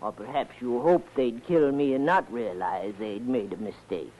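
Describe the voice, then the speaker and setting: A man's voice: mid-range voice, normal speed, natural voice. An actor playing a desperate, cornered man driven to kill, in tense and polite 1940s radio drama style. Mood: menacing. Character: desperate, cornered man turned reluctant avenging killer. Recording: dull old-time radio recording.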